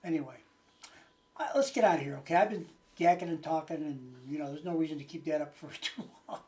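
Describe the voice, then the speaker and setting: A man's voice: fairly high pitch, fast, darkish tone. Rambling, folksy man in his late sixties. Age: late 60s. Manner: rambling, folksy, hesitant phrasing. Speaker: video channel host. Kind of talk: casual unscripted chat to viewers.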